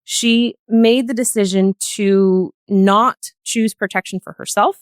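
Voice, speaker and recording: A woman speaking as a podcast guest in a thoughtful, conversational discussion, explaining things carefully and pausing to find her words. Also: mid-range voice, steady tone, no room sound, studio quality.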